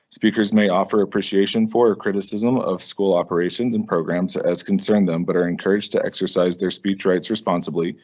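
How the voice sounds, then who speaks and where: Man, board chair, deep voice, quick procedural reading, muffled meeting recording. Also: a little mellow. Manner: brisk, procedural, measured reading. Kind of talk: reading formal meeting rules. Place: school board meeting room. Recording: dull lo-fi meeting audio.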